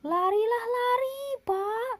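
A woman voicing a forgetful, easily confused, excitable man from a storybook, in a dramatic, bewildered manner. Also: extremely high pitch, slow, dry recording, pitched above the performer's own voice. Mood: alarmed.

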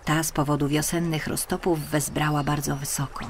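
A woman narrating a scripted children's story in an expressive, careful storytelling manner. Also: deep voice, fairly quick, a little hiss or hum. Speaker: audiobook narrator.

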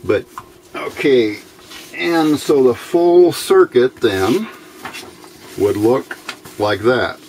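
A middle-aged American man giving an informal, unscripted technical explanation in a plain-spoken, folksy, halting way, recorded on a camera microphone at a workbench. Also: medium pitch, animated, darkish tone. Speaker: electronics hobbyist presenter. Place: indoors at a workbench. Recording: noisy home-made camera audio.

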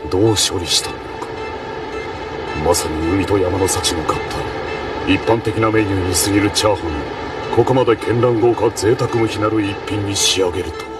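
A stern, grandiloquent imperial official from an anime acted by a man, with a lowish, commanding voice. He sounds a little distant, under loud music. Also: a little fast, close to an everyday voice. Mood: impressed. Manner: pompous, grandiloquent, authoritative. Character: stern, imposing male imperial official judging food. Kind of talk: Japanese anime acting.

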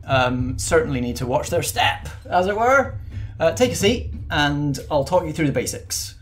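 A man's voice: fairly high pitch, animated, normal speed, a little hiss or hum, lightly acted. A man voicing a fussy, polite ghost train conductor who is genteel, rambling and easily offended. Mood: helpful.

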